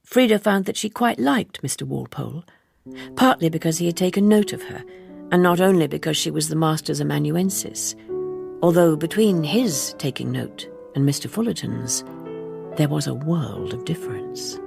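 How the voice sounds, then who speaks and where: A woman reading literary fiction as an audiobook narrator, in a measured, articulate and wry way. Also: deep voice, animated, vocal fry, over music. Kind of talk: expressive literary scripted narration.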